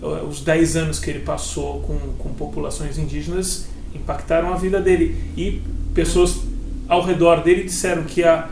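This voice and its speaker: A man's voice: fairly high pitch, level tone, normal speed, darkish tone. A man interviewing a guest, asking long searching questions full of fillers and restarts. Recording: echoey room with faint noise.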